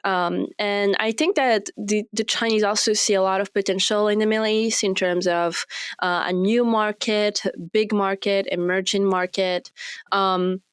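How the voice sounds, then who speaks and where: Smooth-voiced woman guest from Morocco. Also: medium pitch, steady tone, no room sound, studio quality. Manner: measured, many fillers and restarts. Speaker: research fellow guest. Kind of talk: interview answers.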